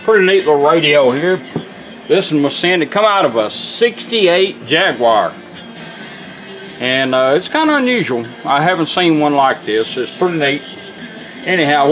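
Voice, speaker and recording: A man's voice: a little high, expressive, moderate pace, a bit bright, soft background music. A man giving an informal product demonstration in a folksy, plainspoken way. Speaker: presenter demonstrating a restored car radio.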